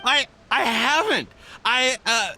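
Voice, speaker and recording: Nervous, bright-voiced stammering merchant. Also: male voice, very high voice, expressive, soft background music, full character voice. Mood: panicked. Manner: halting, stammering, nervous chuckling. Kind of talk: anime game voice acting.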